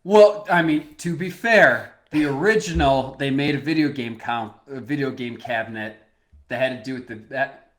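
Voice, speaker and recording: Excitable man arguing on a podcast. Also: medium pitch, normal speed. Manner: excitable, argumentative, laughing. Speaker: podcast co-host. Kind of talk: casual chat.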